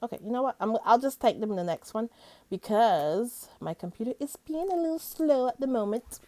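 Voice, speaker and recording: Female commentary host: slightly high voice, informal, chatty delivery straight to the audience, very dry recording. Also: lively intonation, fairly quick, a little mellow, dry recording. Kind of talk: casual rambling chat to viewers.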